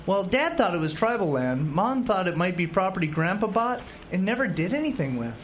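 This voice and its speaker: A woman's voice: lowish voice, sing-song, brisk. A woman playing a cheerful, patient young small-town husband in an audio drama, upbeat and reassuring. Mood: earnest. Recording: noticeable background noise.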